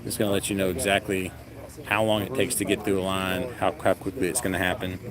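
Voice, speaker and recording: A man's voice: low-pitched, flat delivery, fast. A man livestreaming from an outdoor festival line in a casual, rambling way, with lots of fillers, restarts and self-deprecating jokes. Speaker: vlogger streaming live. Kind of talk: casual live stream commentary. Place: outdoors in a long festival queue near traffic. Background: crowd chatter.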